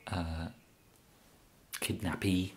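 Young man, English accent, deep soft voice, slow halting mumble, polite bumbling kidnapper, ASMR roleplay. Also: little pitch movement, slight background noise. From England. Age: young adult. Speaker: ASMR performer. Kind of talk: ASMR comedy roleplay monologue, soft-spoken.

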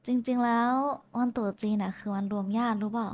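A woman's voice: medium pitch, fairly even intonation, fast talker, darkish tone, natural voice. A woman playing a shy, affectionate young woman in an intimate roleplay, talking casually and hesitantly to someone special. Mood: tender, teasing. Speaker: VTuber voice actress. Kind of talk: intimate roleplay voice pack addressed to the listener.

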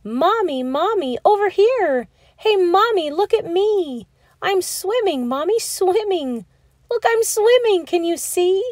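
A woman voicing a small, timid young boy penguin chick in a children's storybook read-aloud, anxious at first and then squealing with excitement. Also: on the high side, slow, no room sound, clean studio sound, exaggerated voice. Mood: excited.

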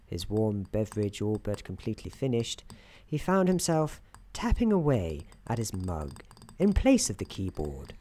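A man playing a soothing, wry narrator in an office worker's head, soft-spoken, with measured theatrical delivery for an ASMR storytelling roleplay. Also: lowish voice, huge pitch swings. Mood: calm narrating.

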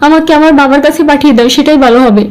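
A woman's voice: sits high, rapid-fire, close to an everyday voice. A woman voicing a cheeky young granddaughter in a comic cartoon, disapproving and slyly defiant. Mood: indignant. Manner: sassy, scolding. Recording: clearly noisy track with clicks.

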